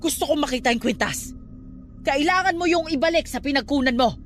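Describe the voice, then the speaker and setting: High-pitched, scolding Filipino aunt played by an actress. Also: female voice, brisk, faint music behind, lightly acted. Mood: exasperated scolding. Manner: scolding, dramatic, emphatic. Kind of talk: radio drama acting.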